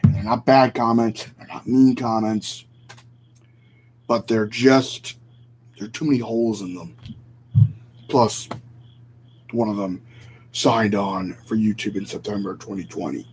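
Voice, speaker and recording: A man's voice: fairly low pitch, fairly even intonation, measured pace, pauses often, a little mellow, slight background noise, low-quality recording. A man vlogging in a casual, rambling rant, opinionated and often correcting himself mid-sentence.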